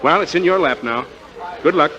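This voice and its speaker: An actor playing a gruff, blunt, sardonic mechanic who is a protective sidekick in a 1940s radio drama. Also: male voice, a little high, normal speed, sharp tone, lo-fi sound. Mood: blunt warning.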